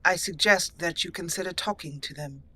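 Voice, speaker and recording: A woman voicing her own game character, a young female elf mage, in a measured, solemn way. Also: lowish voice, bright, forceful tone, a little hiss or hum. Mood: reproachful. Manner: measured, solemn role-play reading.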